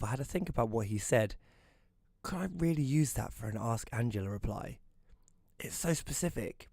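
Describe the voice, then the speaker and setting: A man plays a self-doubting young columnist telling his story in an audio drama. His voice sits a little low, and his delivery is wry and hesitant. The recording is dry, with no room sound. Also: a little mellow, no echo, close to an everyday voice. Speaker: narrator and lead character. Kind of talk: first-person audio drama narration and dialogue.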